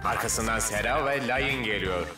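A man voicing an excited race announcer in a cartoon dub. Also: lowish voice, moderate pace, echoey room, distant mic, music in the background, lightly acted. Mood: announcing.

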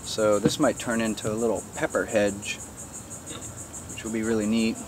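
A man casually showing viewers around his backyard garden outdoors, chatty and relaxed, joking with his animals. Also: lowish voice, normal speed, a little hiss or hum. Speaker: gardener giving a backyard tour. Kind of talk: casual walk-through narration.

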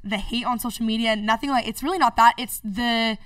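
A woman of twenty-three hosting a podcast, chatty and bubbly, quick to agree and cheer her guest on. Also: fairly high pitch, fairly bright tone, dry recording, far from the mic. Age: 20s. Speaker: podcast host. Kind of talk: casual interview chat. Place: podcast studio. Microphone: studio mic on stand, headphones.

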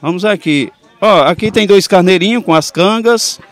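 A man's voice: high-pitched, moderate pace. A middle-aged man, hosting roving interviews at an outdoor livestock market with a handheld mic, folksy and upbeat. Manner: folksy, upbeat, addresses viewers directly. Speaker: host.

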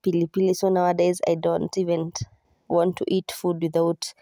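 Mellow, low-pitched Kenyan woman vlogger. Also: flat delivery, normal speed, no room sound, studio quality. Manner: chatty, code-switches into Swahili. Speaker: vlogger, host. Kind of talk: casual voice-over narration of a home cooking routine.

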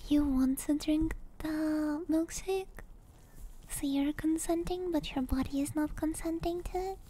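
A young woman whispering breathily and very close to the mic, chatting casually and playfully as an ASMR streamer, with some relaxed swearing. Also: high voice, unhurried, slight background noise. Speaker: ASMR streamer hosting a live hangout. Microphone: binaural 3Dio ASMR microphone, very close.

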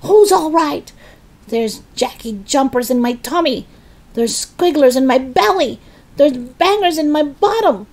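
A woman voicing a cranky, outraged old grandmother who yells in a children's storybook. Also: high voice, lively intonation, measured pace, pitched above the performer's own voice. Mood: indignant, distressed. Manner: yelling, outraged.

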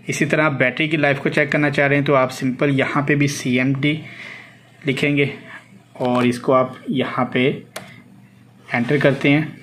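Male tutorial presenter, mid pitch, instructional and repetitive, unscripted, recorded on a phone with clear background noise. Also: a bit bright. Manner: instructional, repeats phrases step by step. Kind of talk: hands-on spoken tutorial, unscripted. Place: indoors at a desk with a laptop. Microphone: handheld phone behind the camera.

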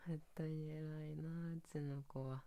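A man's voice: on the high side, little pitch movement, unhurried, gravelly, mellow. A young man acting as an affectionate dog owner in an ASMR roleplay, cooing and playfully baby-talking to his pet. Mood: soft, fond. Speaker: ASMR voice performer. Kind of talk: Japanese ASMR situation voice roleplay.

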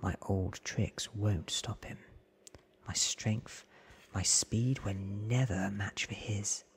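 Very breathy man whispering a story. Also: on the low side. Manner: slow, hushed, deliberate. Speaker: ASMR story reader. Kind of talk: whispered ASMR reading of a novel. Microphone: close microphone with foam windscreen.

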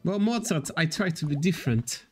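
A man live-streaming slot games from a desk, chatting to his viewers in loose, repetitive English. His fairly high voice swings up and down a lot. Quiet game music plays underneath. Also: lively intonation, moderate pace. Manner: reads and answers chat, loose non-native English with repetitions. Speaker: casino slots streamer.

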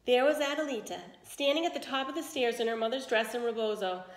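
A woman reading a children's story aloud expressively, pausing to put questions to listeners and explain words. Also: a little high, reverberant, poor audio. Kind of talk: expressive read-aloud narration with asides to listeners.

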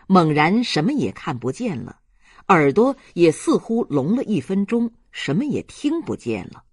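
An audiobook narrator reading a novel aloud in a measured, dramatic storytelling manner. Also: medium pitch, slightly rough.

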